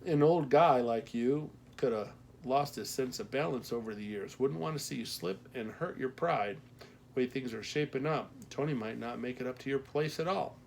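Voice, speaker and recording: A man's voice: medium pitch, normal speed, creaky, slight background noise, lightly acted. A man voicing a matronly small-town postmaster woman in an audiobook, folksy, gossipy and teasing.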